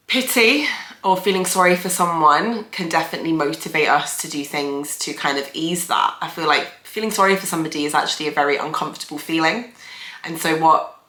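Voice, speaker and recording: Low, gravelly woman with nasal tone. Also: bright, forceful tone, roomy sound. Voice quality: nasal from hay fever. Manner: chatty, thoughtful, many fillers. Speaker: therapist and channel host. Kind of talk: casual video commentary.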